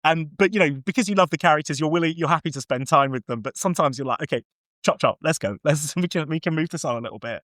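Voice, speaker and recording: A man's voice: on the high side, fast, fairly bright tone, dry recording. Chatty man with a dry, close sound. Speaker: podcast guest film reviewer. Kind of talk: casual, chatty film discussion.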